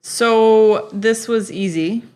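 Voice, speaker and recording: A woman guest on a horror podcast, telling stories in casual, lively chat. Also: fairly high pitch, drawn-out words.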